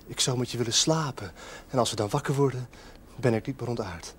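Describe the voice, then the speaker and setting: An actor playing an embittered, lovesick young male outcast in a television drama, with a slow, brooding, softly taunting, theatrical delivery. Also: mid-range voice, lightly acted. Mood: menacing longing. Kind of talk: 1970s Dutch television drama acting. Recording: noisy old television soundtrack.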